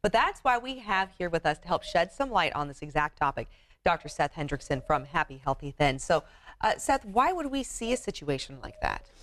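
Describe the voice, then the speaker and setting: A woman's voice: deep voice, big pitch swings, brisk, a bit bright, no room sound. A woman hosting an upbeat TV interview segment in a chatty, affirming way. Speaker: TV show host.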